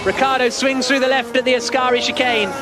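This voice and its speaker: A high-voiced male commentator calls a motor race live. His delivery builds into excited shouting at the finish. Loud engine noise roars behind him throughout. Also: brisk. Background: race cars.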